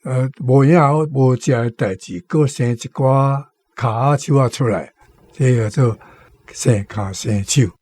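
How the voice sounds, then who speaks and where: A Taiwanese man talks casually, explaining things as a guest commentator. His voice is husky and mid-pitched, recorded close and dry. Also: steady tone, unhurried, warm tone, no room sound.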